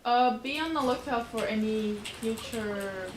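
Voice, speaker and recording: A woman's voice: on the high side, unhurried, roomy sound, background noise. A woman livestreamer chatting casually while playing a game, rambling in a slangy, informal way. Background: faint game music.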